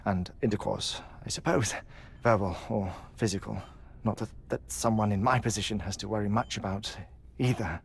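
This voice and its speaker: An actor playing an eccentric, grieving scientist in a video game, with an erudite, wordy and halting way of talking. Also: male voice, lowish voice, vocal fry, husky. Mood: wry, awkward. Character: eccentric, grieving male scientist with a weak heart. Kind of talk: dramatic video game acting.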